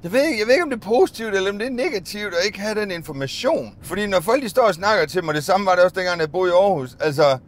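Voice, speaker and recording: A man's voice: sits high, animated, few pauses. Chatty man rambling from a minibus. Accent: Danish. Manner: rambling, chatty, musing aloud with restarts. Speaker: bus driver vlogger. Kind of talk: casual vlog monologue while driving. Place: inside a minibus, daytime. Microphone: phone or dashcam on the dashboard.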